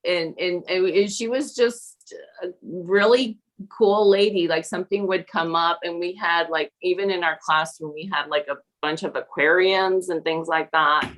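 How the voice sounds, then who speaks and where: Low-voiced woman, halting with many fillers. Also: fairly even intonation, fairly bright tone, poor audio. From Peru. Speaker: National Board certified teacher and mentor. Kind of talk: conversational podcast interview.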